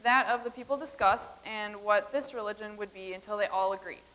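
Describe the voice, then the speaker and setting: A woman's voice: mid-range voice, fairly quick, a bit bright, lightly acted. A woman reading the part of a visitor from the far future in a staged comedy reading, explaining everything in an earnest, matter-of-fact way.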